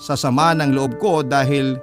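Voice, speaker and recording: A man's voice: mid-range voice, steady tone, a little slow. A man with a Filipino accent reading a listener's letter as emotional scripted radio storytelling. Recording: prominent music under the narration.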